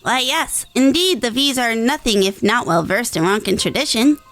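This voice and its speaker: A woman's voice: fairly high pitch, lively intonation, moderate pace, a bit bright, faint music behind. A woman voicing a small, earnest young rodent-folk scholar in a video game, speaking politely in formal, archaic phrases. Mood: eager, courteous. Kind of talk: reading video game dialogue in character.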